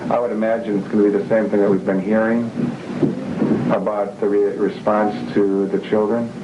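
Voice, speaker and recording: A man conducting a camcorder interview, asking measured, patient questions. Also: fairly low pitch, little pitch movement. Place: room inside a residential compound building. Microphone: camcorder microphone. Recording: echoey room on old lo-fi videotape with hiss.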